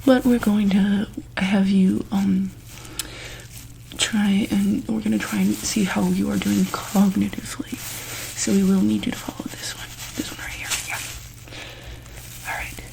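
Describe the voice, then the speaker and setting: A woman softly speaking and whispering in an ASMR roleplay as a calm, gentle doctor, with hesitant, reassuring bedside talk. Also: mid-range voice, measured pace, low-quality recording. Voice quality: soft, breathy, often dropping into whisper. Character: calm, attentive doctor at a monster hospital. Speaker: ASMR roleplayer playing a medical examiner.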